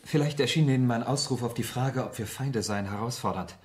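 Male actor as young idealist poet, radio play: medium pitch, formal, fervent, overwrought sincerity. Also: a little fast, a little hiss or hum, close to an everyday voice. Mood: stiff, earnest. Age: young adult. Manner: formal, fervent, literary phrasing. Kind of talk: German radio play acting.

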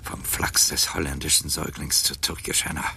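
An actor playing a bitter, proud old libertine in a literary radio drama, declaiming in a gravelly voice that moves between whispery asides and outcries. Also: male voice, extremely low pitch, little pitch movement, a little fast, bright, forceful tone, slight background noise, heavily acted. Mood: agitated, defiant.

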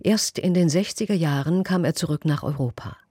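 A male presenter reading a scripted radio introduction. His voice sits a little high for a man, with a very soft, mellow tone and a husky grain in a dry studio sound. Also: brisk, no room sound.

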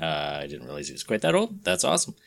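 A man's voice: extremely low pitch, wildly swooping pitch, fast talker, creaky, fairly bright tone. Man casually walking through podcast news. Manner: explanatory, conversational, paraphrasing quotes as he goes. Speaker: podcast co-host explaining comics news.

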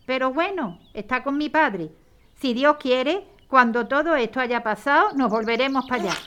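A woman's voice: high-pitched, expressive, moderate pace, lo-fi sound, background noise. A woman playing a bold, protective working-class mother in a historical audio drama, blunt and colloquial. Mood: friendly, matter-of-fact.

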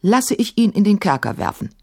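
Woman as young storybook caliph, male, proud, scornful, imperious, emphatic, raised pitch. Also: very animated, moderate pace, vocal fry, warm tone, husky, dry recording, studio quality, exaggerated voice. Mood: haughty, stern.

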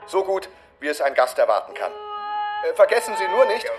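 A male actor playing a speech-maker in a radio drama, giving a measured, rhetorical address with a pointed, ironic edge. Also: high voice, sing-song, music in the background.

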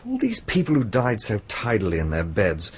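Faint noise sits behind an educated British man's voice, swinging widely in pitch as a worried amateur investigator. He is articulate, earnest and persistent, in the manner of a radio play. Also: wildly swooping pitch, moderate pace. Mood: intent, persistent. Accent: British English. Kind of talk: radio drama acting.

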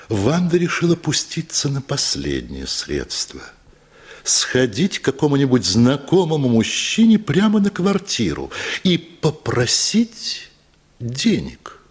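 A male narrator reads a literary tale for radio drama in a lowish, husky voice. His delivery is unhurried and very expressive, moving widely in pitch and leaving dramatic pauses.

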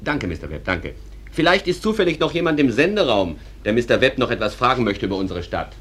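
A man's voice: medium pitch, very animated, a bit bright, slightly rough. A male actor playing a genial, courteous stage manager who presents a small town in a German radio play and politely moves speakers along. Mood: polite, hurried. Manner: polite, briskly cuts speakers short. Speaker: narrator and master of ceremonies.

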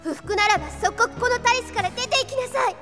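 A woman's voice: very high-pitched, quick pace, music in the background. A woman playing an earnest, headstrong young princess, devoted and well-spoken, in an anime audio drama. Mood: indignant, commanding. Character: earnest, headstrong twelve-year-old princess, devoted and polite.

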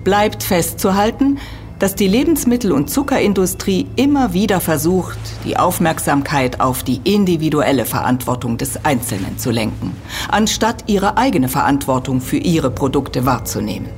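Low-voiced, animated female lecturer. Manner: precise, didactic, emphatic. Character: expert female university lecturer, earnest and critical. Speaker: expert being interviewed. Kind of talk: German audio drama dialogue, explanatory lecturing.

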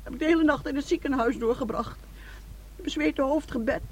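An actress playing a domineering, guilt-tripping middle-aged mother in a radio play, with a husky voice and scolding, melodramatic delivery. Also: sits high, fairly quick, a little mellow, lightly acted. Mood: wounded reproach.

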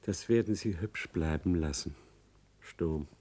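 An actor playing a spiteful, scheming academic in a German radio play, haughty, biting and emphatic. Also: male voice, low voice, a little slow, warm tone, breathy edge. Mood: coldly threatening. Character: spiteful, scheming male academic, arrogant and vindictive.

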